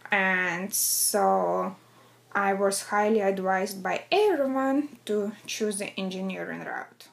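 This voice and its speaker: A woman's voice: medium pitch, slow, vocal fry. A woman in her thirties from southern Russia, with a Russian accent, talking about her own life as an interviewee. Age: 30s.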